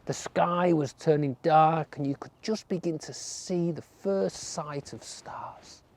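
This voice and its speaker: Gentle, slow children's storyteller. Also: mid-range voice. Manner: gentle, with whispered and drawn-out words.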